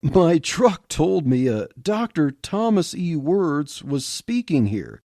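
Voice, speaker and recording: A man voicing a bashful, fumbling ranch hand who stammers with excitement. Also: a little high, slow, dry recording, clean studio sound, voice pushed up, exaggerated voice. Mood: flustered, awed.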